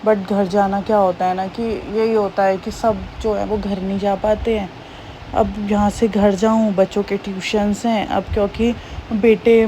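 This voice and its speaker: A woman in her thirties with a slightly hoarse voice, chatting homely and rambling through her daily vlog. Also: medium pitch, mellow, slight background noise, rough recording. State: hoarse, slightly sore throat. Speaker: vlogger. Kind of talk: casual daily-life vlog narration.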